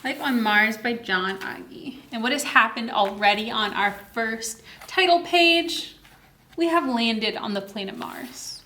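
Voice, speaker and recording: A woman hosting story time as a children's librarian, teacherly and animated, explaining a picture book to young children with questions. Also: a little high, takes it slowly, a little hiss or hum. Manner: animated, teacherly, encouraging participation.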